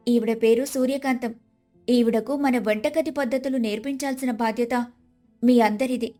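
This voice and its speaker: A woman plays a young daughter-in-law who has become a strict boss. Her voice is high, composed and commanding, in moral-story style with music underneath. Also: a little mellow. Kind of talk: dramatised Telugu moral story.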